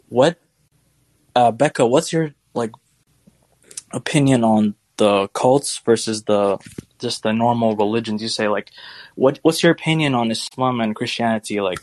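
A man's voice: fairly low pitch, darkish tone. A young man calling in to a podcast, telling a rambling story and asking questions in a chatty, casual way. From United States. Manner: rambling, chatty, laughs while telling stories. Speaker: caller.

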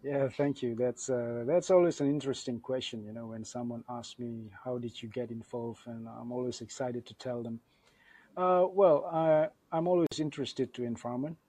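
Mellow Indonesian-accented man telling a story. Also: medium pitch, moderate pace, no room sound. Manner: friendly, chatty, with many fillers. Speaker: podcast guest. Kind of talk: casual storytelling.

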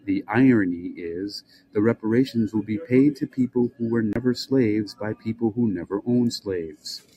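An older man hosting a podcast, reading a prepared essay aloud with measured, rhetorical question-and-answer pacing. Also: lowish voice, dark tone, husky, dull audio. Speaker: podcast host.

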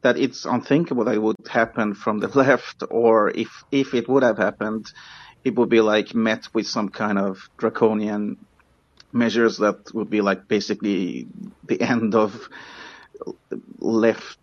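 Hesitant Swedish caller on a muffled line. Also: male voice, on the low side, steady tone, unhurried, no room sound. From Sweden. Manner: hesitant, restarts often, apologetic. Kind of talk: call-in chat.

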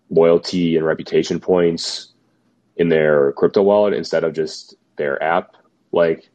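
Deep-voiced man, slightly hoarse. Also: darkish tone, muffled recording. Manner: skeptical, musing aloud. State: slightly losing his voice, sick. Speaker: podcast co-host. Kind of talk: casual chat.

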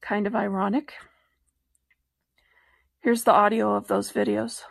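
A woman's voice: mid-range voice, level tone, a little slow, gravelly, no room sound. A woman with an American accent hosting a show, introducing it in an informal spoken style. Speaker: podcast host.

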